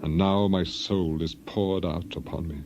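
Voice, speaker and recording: Man acting a dignified old patriarch in grief, low voice, declamatory lament, Bible drama, clear background noise. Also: fairly even intonation, unhurried, lo-fi sound. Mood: anguished.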